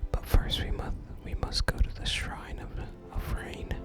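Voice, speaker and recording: Whispering gamer with music behind. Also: measured pace. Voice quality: whispered, unvoiced. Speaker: video game streamer. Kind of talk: whispered ASMR game playthrough, reading dialogue aloud. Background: game music.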